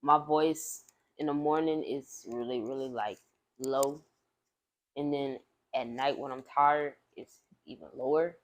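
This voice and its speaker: A woman's voice: a bass voice, fairly even intonation, unhurried. A woman with a light voice, a singer talking loosely with many fillers as a podcast guest. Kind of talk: casual conversation.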